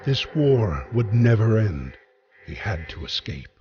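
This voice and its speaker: A man's voice: lowish voice, big pitch swings, unhurried, crowd noise. A man narrating a wartime story in a scripted video game style. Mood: solemn storytelling. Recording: dull sound with music behind. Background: music.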